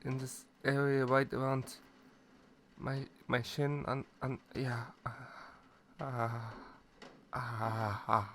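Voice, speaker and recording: A shy, clueless catboy played by a man speaks in a mid-pitched male voice at a very slow pace. He is hesitant and stammers, bashful and eager to please, as in an intimate roleplay audio drama. Faint ambient noise sits behind the voice. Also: level tone, a bit bright. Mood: bashful, pleased. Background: ambient noise, rain.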